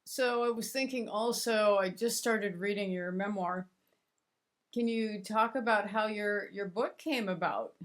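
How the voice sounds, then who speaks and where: A woman hosting an interview, gentle and musing, with fillers and restarts as she thinks aloud. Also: mid-range voice, measured pace. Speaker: interview host. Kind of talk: interview conversation.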